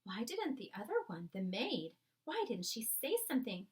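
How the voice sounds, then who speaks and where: A woman reading a storybook aloud as narrator, with dramatic, measured phrasing. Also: a little high, sing-song.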